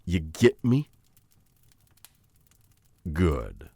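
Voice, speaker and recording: Witch hunter, male actor, ASMR roleplay. Deep register with lively swings, intimate taunting menace, inner conflict underneath. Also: gravelly, dry recording, heavily acted. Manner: slow, halting, intimate taunting address to listener.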